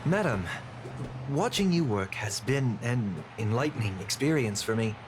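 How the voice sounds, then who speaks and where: An actor voicing a courteous, curious gentleman in a video game, with music behind his voice. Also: medium pitch, expressive, normal speed, background noise, lightly acted. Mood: flattering. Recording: background music under the voice.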